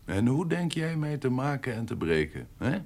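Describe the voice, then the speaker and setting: An actor playing a slippery, corrupt privy councillor at an eighteenth-century court in a radio drama, insinuating and evasive. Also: male voice, mid-range voice, big pitch swings, normal speed, a little mellow. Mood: sly, mocking. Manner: slippery, insinuating, drops to murmurs.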